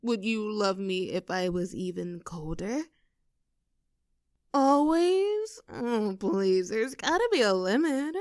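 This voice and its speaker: A woman's voice: mid-range voice, big pitch swings, slow, no room sound, studio quality. A woman playing an affectionate, teasing young girlfriend in an intimate roleplay audio, coaxing and giggly in a conversational way. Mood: tender, teasing. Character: playful, clingy, affectionate young girlfriend teasing her partner.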